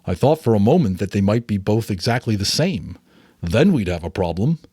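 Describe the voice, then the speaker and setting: A man's voice: on the low side, very animated, normal speed, warm tone, breathy edge, no echo, studio quality. A man voicing a cheerful, doting father in a storybook, with a singsong manner. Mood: brisk, cheerful. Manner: singsong baby talk.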